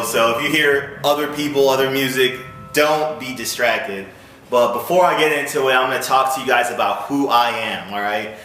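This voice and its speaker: A man's voice: medium pitch, pushed and bright, slight background noise. A man giving an informal, instructive talk to viewers as a music business owner, often checking in with short questions. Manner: instructive, punctuated with check-in questions like "okay?" and "all right?". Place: music studio. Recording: roomy echo, music in background.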